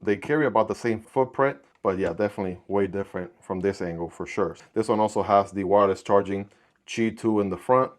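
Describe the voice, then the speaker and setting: A man with a fairly low voice whose first language is Spanish, chatting through a gadget unboxing. He keeps it relaxed and jokey, tossing in little sound-effect words as he shows things off. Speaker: product reviewer. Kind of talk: casual unboxing review.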